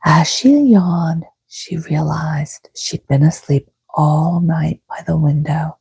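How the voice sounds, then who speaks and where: Breathy, whispering woman reading bedtime stories slowly. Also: deep voice, no room sound. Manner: gentle, soothing, unhurried. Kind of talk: soft-spoken ASMR storybook reading.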